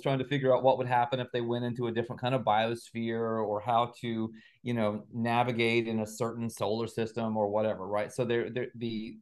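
A man's voice: mid-range voice, darkish tone. A man speaking as an interview guest on a video call, explaining ideas methodically, step by step. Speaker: guest author and researcher.

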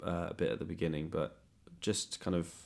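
Bloke hosting a podcast, soft dark mellow voice, bit low, kind of hesitant. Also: male voice, flat delivery, a little fast, creaky. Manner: hesitant, tentative phrasing. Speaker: podcast host. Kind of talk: casual interview.

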